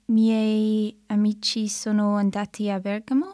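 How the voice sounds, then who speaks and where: A woman learning a language, answering translation drills slowly and tentatively. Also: medium pitch, monotone, mellow, dry recording, clean studio sound.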